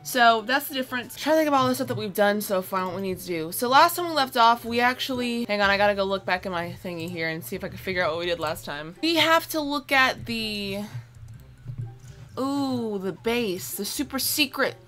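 A young woman with a high, bright voice plays through a game. She talks to herself and her viewers casually, tossing in slang and playful exclamations. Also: brisk, soft background music. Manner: animated self-talk, playful exclamations and slang. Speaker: gaming video host. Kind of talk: casual let's play commentary with facecam. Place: small room indoors at home. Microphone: separate mic with phone as backup.

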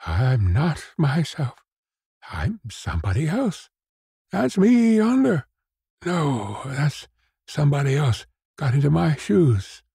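Guy doing a confused old grey-beard from a storybook, husky, pitched up, pleading and faltering. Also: measured pace, hardly any pauses, dark tone, no room sound, studio quality, exaggerated voice. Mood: bewildered, distraught. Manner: faltering, plaintive exclamations. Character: bewildered ragged grey-bearded old man, despairing. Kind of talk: dramatised storybook reading.

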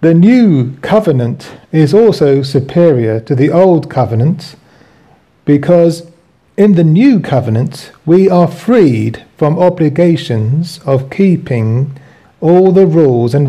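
Slow-paced man with a very muffled, mellow tone. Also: a little high, lively intonation. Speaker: host. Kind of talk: reading own written teaching aloud, with asides.

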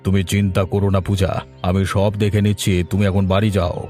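A man's voice: low-pitched, level tone, fast talker, dark tone, soft background music, lightly acted. A man voicing a stern, fair-minded village elder in a cartoon, speaking firmly and with command. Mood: reassuring. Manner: commanding, firm. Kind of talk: Bengali cartoon voice acting.

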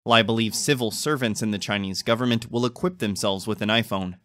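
A man's voice: fairly low pitch, a little fast, fairly bright tone, dry recording. A man reading scripted news narration as a news narrator.

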